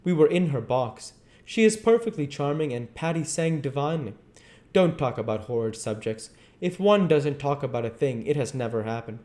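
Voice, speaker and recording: A man's voice: medium pitch, lively intonation, moderate pace, mellow, voice pushed up, natural voice. A man voicing a vain, callous young aristocrat, haughty and flippant, from a classic novel. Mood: bored, flippant.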